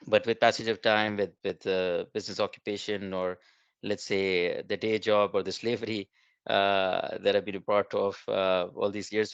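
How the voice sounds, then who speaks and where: Low flat-voiced man with an Urdu background. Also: clear, resonant tone, no echo. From Pakistan. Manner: halting, many fillers and restarts. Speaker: webinar presenter. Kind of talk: webinar presentation. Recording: dull lo-fi online call.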